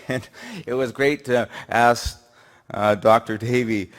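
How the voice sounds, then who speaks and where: Man in his 60s, loud and jovial podium speaker in a lecture hall, mid-pitched voice. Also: steady tone, slow pace. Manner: loud, jovial, self-deprecating. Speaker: patient speaker. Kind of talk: personal talk at a symposium. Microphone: podium microphone.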